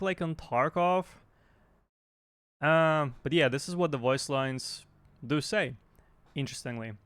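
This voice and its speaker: A man talking casually and off the cuff as a gaming news host, in a hesitant, halting way. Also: a little high, a bit bright, no room sound. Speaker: YouTube game news host.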